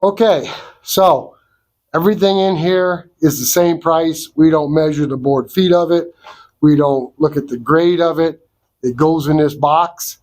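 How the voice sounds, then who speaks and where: Middle-aged male vlog host with a head cold, unhurried plain-spoken delivery, slightly raised pitch, dry close sound. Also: darkish tone, no echo. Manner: slow, folksy, down-to-earth explaining. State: congested from a cold. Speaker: sawmill owner and channel host. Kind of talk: casual outdoor vlog walkthrough. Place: wood shed at a sawmill.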